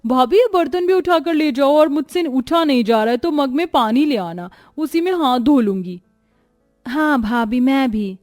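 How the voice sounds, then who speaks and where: A woman's voice: high voice, animated, moderate pace, darkish tone, no room sound, studio quality, faint music behind. A woman voicing spoiled, snobbish young married daughters in a cartoon story, with an entitled, demanding manner. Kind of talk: acted Hindi cartoon story dialogue.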